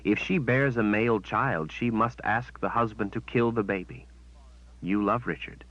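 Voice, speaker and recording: A man's voice: lowish voice, moderate pace, fairly bright tone, no room sound, voice pushed up. A man doing a cold, smug witch. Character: cool, smug witch woman, menacing. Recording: dull, dry sound.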